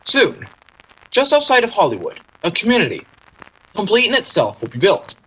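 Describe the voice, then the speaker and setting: A man with a husky voice giving a formal speech in the style of 1940s radio. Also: high-pitched, huge pitch swings, normal speed, pushed and bright, exaggerated voice, poor audio. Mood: earnest, grateful. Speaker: guest speaker, charity fund president.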